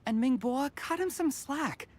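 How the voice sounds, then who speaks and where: A woman's voice: high-pitched, lively intonation, a little slow, darkish tone, slight background noise. An actress playing a sensible, firm woman in a video game, reasoning and placating as a peacemaker. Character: sensible, firm female peacemaker among exam candidates.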